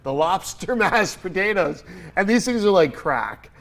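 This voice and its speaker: A congested man hosts a casual studio chat, his voice sitting a little high for a man. He tells stories with bursts of energy and laughs along the way. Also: measured pace. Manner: energetic storyteller, animated, laughing while telling stories. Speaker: podcast host.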